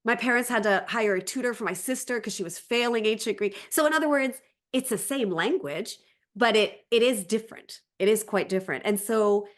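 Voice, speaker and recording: A woman's voice: a little high, lively intonation, brisk. A woman speaking as a language teacher and interview guest, explaining conversationally and often circling back over her phrasing.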